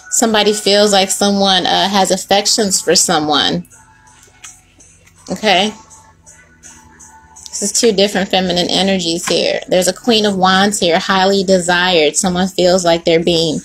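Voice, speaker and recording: A woman's voice: fairly low pitch, fairly even intonation, a little slow, pauses often, soft background music, rough recording. A woman talks through a tarot spread at her table in a loose, slangy way. She swears casually and drops in laughing asides, speaking close to the camera. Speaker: tarot reader. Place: indoors at a table with cards.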